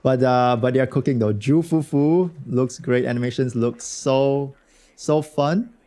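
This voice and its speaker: A man streaming a game with a hoarse voice from a cold, chatting loosely and slangily with his viewers. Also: medium pitch, unhurried, mellow. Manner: slangy, rambling, talks to chat. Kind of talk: casual livestream chat reacting to a game.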